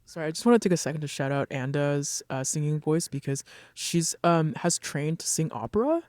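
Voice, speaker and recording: A man's voice: fairly high pitch, animated, a little fast, a little mellow, no echo. A man in a band talking in a casual interview, upbeat and chatty, laughing easily. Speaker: band member.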